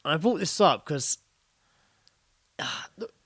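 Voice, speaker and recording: A man's voice: on the high side, lively intonation, slow pace, fairly bright tone, husky, no echo, clean studio sound. A British man co-hosting a podcast, telling stories in casual, blokey and very sweary chat. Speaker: podcast co-host.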